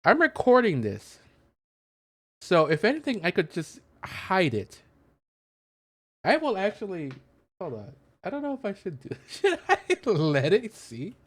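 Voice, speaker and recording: A man's voice: sits high, wildly swooping pitch, a little slow, pauses often, no room sound. A man doing casual let's play commentary, explaining things in a hesitant, rambling way into a close mic. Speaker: gaming content creator.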